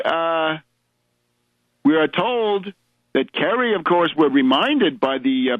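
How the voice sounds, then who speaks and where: A man's voice: on the high side, dry recording. A man hosting a political radio show, delivering an opinionated monologue in a sardonic, emphatic way with rhetorical questions. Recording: dull lo-fi radio audio.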